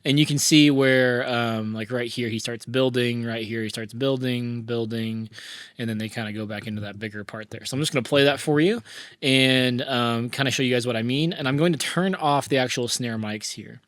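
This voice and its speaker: A man hosting a casual music-mixing tutorial, hoarse from a cold, talking in a chatty way with lots of fillers and restarts. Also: mid-range voice, moderate pace, fairly bright tone, no echo, studio quality. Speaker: mixing engineer tutorial host. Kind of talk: casual screen-recorded tutorial.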